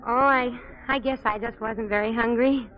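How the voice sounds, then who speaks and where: A woman's voice: on the high side, steady tone, normal speed, close to an everyday voice. A woman acting in 1940s radio style as an eager, naive, polite young small-town girl hoping for the stage. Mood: grateful, eager. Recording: muffled 1940s radio broadcast.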